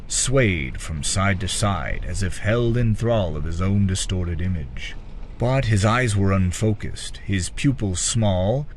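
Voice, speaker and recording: Guy with a lowish voice reading dark fantasy like an audiobook, dramatic, drags out his phrases. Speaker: audiobook-style narrator. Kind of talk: dramatic scripted narration of a dark fantasy tale.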